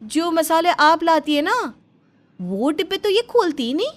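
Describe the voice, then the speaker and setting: A woman's voice: very high-pitched, big pitch swings, a little slow, pitched above the performer's own voice, heavily acted. A woman voicing a suspicious, conspiratorial young daughter-in-law in a cartoon family story, speaking emphatically. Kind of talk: animated family story dialogue.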